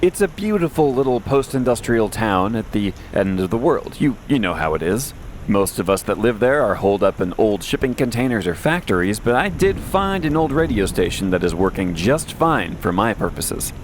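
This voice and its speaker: A man playing a wry, anxious post-apocalyptic radio host in an audio drama, rambling casually with deadpan, self-deprecating humor. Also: fairly low pitch, normal speed, a little hiss or hum. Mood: chatty. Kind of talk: conversational audio drama monologue, answering listener messages.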